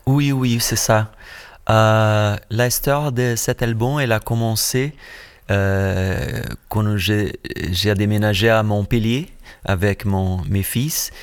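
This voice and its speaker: A man's voice: lowish voice, steady tone, takes it slowly, clean tone. Brazilian man speaking hesitant French. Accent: Brazilian Portuguese. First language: Portuguese. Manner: searching for words, many hesitations and restarts. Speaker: musician guest. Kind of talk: radio interview.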